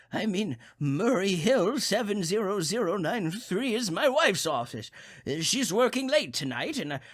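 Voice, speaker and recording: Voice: medium pitch, natural voice. An actor playing a crotchety, nervous old male invalid who stammers insistently on the telephone, in old-time radio suspense style.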